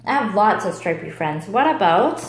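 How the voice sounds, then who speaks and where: Woman as an upbeat, helpful teddy bear, very lively. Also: medium pitch, moderate pace, reverberant. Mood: hopeful. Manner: encouraging, upbeat. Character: eager, helpful male teddy bear, proud and hopeful. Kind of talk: children's storybook character voice.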